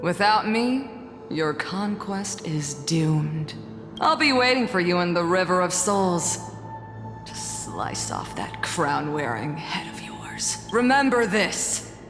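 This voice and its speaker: A proud, bitter fighter from a video game, full of scorn and defiance. The delivery is slow and dramatic with sweeping pitch, echoing over background music. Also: female voice, big pitch swings, exaggerated voice. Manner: dramatic, scornful, swinging from shouts to hisses. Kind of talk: scripted video game voice acting. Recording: echoey hall reverb under music.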